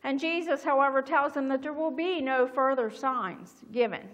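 A middle-aged woman pastor giving a sermon from a church pulpit, speaking in a measured, earnest way. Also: high-pitched, a bit bright. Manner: measured, earnest reading aloud. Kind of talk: sermon with scripture reading. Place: church sanctuary behind a pulpit. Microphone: small mic at the pulpit. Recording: long church reverb.

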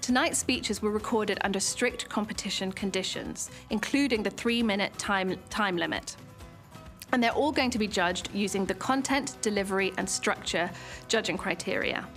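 A woman's voice: medium pitch, a bit bright. Upbeat woman presenting on stage. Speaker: event host. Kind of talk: scripted presenting to camera. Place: dark studio stage.

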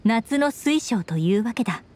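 Mellow, composed young companion. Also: female voice, a little high, expressive. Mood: calm, reflective. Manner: composed, reflective, measured. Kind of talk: Japanese anime game voice acting.

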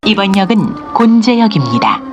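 A woman's voice: medium pitch, very animated, normal speed, muffled recording, noisy background. Korean woman giving formal train announcements. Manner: formal, polite, evenly paced. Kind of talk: recorded transit announcement. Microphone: recorded on a handheld device inside the train. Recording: onboard speaker with chimes.

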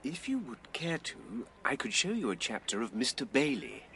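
A gentle, earnest literary friend in a period radio drama. He speaks formally and tactfully, with lively, pleading intonation over some noise. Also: mid-range voice, normal speed, vocal fry, full character voice.

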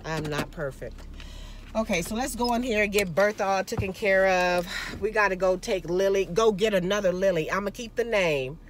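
A woman's voice: medium pitch, moderate pace, slight background noise. Chatty woman vlogging from her car. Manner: chatty, loose and conversational, often calls listeners "girl". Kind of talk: casual chat. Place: parked car. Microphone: phone camera at arm's length.

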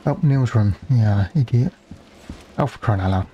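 A man's voice: medium pitch, normal speed, warm tone, muffled recording, a little hiss or hum. A man playing a game and musing aloud in a wry, casual way. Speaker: let's play gamer.

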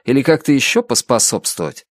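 A man voicing a calm, guarded police officer who answers in a noncommittal, measured way. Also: medium pitch, no echo, clean studio sound, natural voice.

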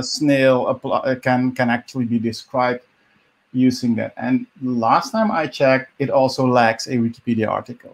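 Halting Dutch-accented man. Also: medium pitch. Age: middle-aged. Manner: halting, repeats words, restarts often. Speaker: live stream presenter. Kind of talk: informal screen-share walkthrough. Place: indoors at home, on a video call. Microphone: video call microphone.

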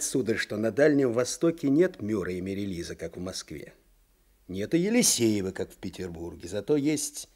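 An actor playing a weary, sardonic older provincial clerk in a radio drama, with a husky voice and folksy, wry speech. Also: male voice, fairly low pitch, dark tone. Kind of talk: Soviet radio drama acting.

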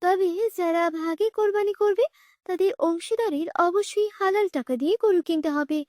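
Woman as a very high-pitched, know-it-all cartoon girl. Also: moderate pace, darkish tone, no echo, clean studio sound. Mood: earnest, instructive. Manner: didactic, explanatory. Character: knowledgeable girl, earnest and firmly correcting her friends. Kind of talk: children's cartoon dialogue.

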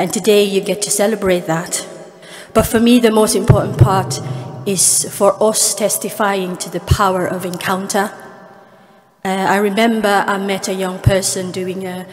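A woman in her forties with a Filipino accent, Tagalog her first language, giving an earnest guest speech at an award ceremony, speaking into a podium microphone with small restarts along the way. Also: mid-range voice. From Philippines. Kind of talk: prepared speech with personal stories. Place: large cathedral. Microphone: podium microphone, far camera. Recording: long cathedral reverb, faint music.

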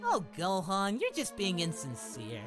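Man acting a cheerful, animated dim-witted hero. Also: high voice, measured pace, music in the background, heavily acted. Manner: naive, breezy, casual. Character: cheerful, dim, carefree adult male hero father. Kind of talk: parody anime dub acting.

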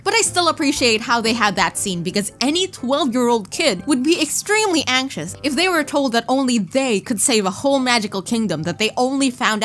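A woman giving casual scripted movie commentary in a playful, expressive, slangy way. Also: fairly high pitch. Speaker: YouTube movie reviewer. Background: soft background music.

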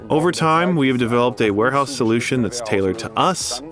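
A man reading scripted corporate testimonial narration in a measured, formal manner. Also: mid-range voice, lively intonation. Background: background music.

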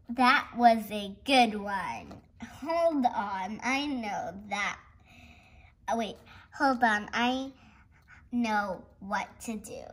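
A young girl hosting a read-along, reading a picture book aloud and reacting chattily in a giggly, excitable way. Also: fairly high pitch. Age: child. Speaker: host of a read-along video. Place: indoors at a dark wooden table. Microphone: camera pointed down at the book.

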